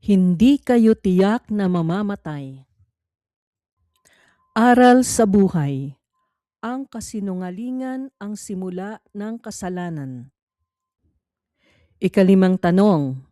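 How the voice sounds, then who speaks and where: A woman reading a scripted Bible quiz in a measured, formal, instructional manner. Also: medium pitch, lively intonation, dark tone, no room sound, clean studio sound. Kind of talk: scripted read-along narration.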